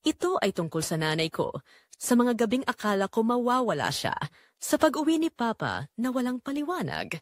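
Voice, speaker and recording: A woman voicing a furious, wounded young woman in an audio drama, with a sharp, emphatic delivery. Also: a little high, big pitch swings, normal speed, no echo, studio quality, voice pushed up. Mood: hurt. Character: furious, wounded young woman confronting her father's mistress.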